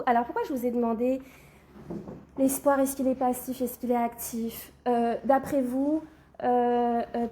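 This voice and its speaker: A woman's voice: high-pitched, a little mellow, slight background noise. A woman teaching a religious class who has a cold, putting questions to her students and checking "d'accord?" as she goes. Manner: questions the class, then answers, often saying "d'accord?". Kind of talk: recorded Torah class.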